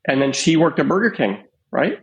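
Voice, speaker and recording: A man in his fifties, a guest on a podcast, telling his life story in an unhurried, reflective way with many small pauses. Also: on the high side, sing-song, low-quality recording. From Canada. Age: 50s. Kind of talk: casual storytelling interview.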